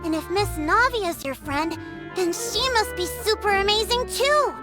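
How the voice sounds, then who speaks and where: A woman voicing an eager, admiring little child in a video game, sweet and excitable. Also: very high-pitched, measured pace. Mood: excited, admiring. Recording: music loud behind voice. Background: playful music.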